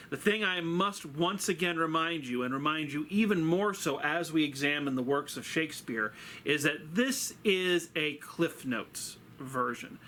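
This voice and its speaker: Theatrical, higher-voiced man telling stories. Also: sharp tone, a little hiss or hum. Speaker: teacher and host of a story series. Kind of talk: scripted literary lesson and storytelling.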